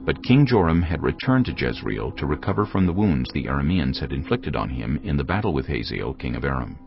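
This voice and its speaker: A man's voice: a bass voice, big pitch swings, brisk, gravelly. A man narrating scripture solemnly for a dramatized audio production. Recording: dull lo-fi audio with music.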